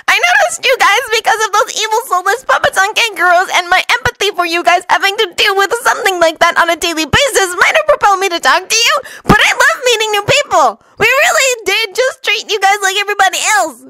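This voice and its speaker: Lady doing a hyper young ninja boy for a story, really high voice, earnest and chatty, super close dry sound. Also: sing-song, normal speed, bright, forceful tone, no room sound, heavily acted. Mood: earnest, upbeat. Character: excitable, cheerful teenage ninja boy, guileless.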